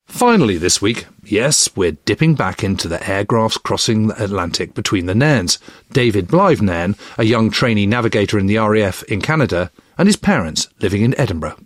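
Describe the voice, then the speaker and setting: A man's voice: on the low side, big pitch swings, brisk, no echo, clean studio sound. A man narrating a scripted history programme in an informal, conversational way. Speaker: podcast narrator.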